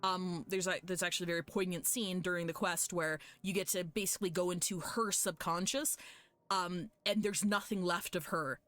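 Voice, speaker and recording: A woman's voice: lowish voice, fairly even intonation, normal speed, a bit bright. A woman livestreaming a game, giving casual, rambling, emphatic commentary and often repeating her phrases. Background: game music.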